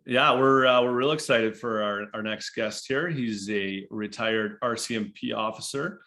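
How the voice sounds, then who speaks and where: A man co-hosting a recovery podcast, talking casually with a halting, hesitant flow. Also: lowish voice, bright, forceful tone. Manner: hesitant, lots of uh and restarts. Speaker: podcast co-host.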